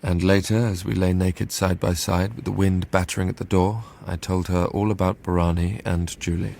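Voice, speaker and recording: A man's voice: sits low, little pitch movement, moderate pace, creaky, a little mellow, a little hiss or hum. An actor playing a guarded, self-absorbed, conflicted young lover in a radio drama, hesitant and confessional as he narrates and talks. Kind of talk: radio drama acting with first-person narration.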